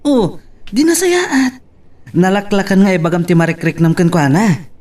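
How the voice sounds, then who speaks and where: A man's voice: high-pitched, lively intonation, moderate pace, darkish tone. A man with an Ilocano accent playing a jovial, teasing best friend in an amateur audio drama. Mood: amused, teasing. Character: jovial, teasing male best buddy giving love advice.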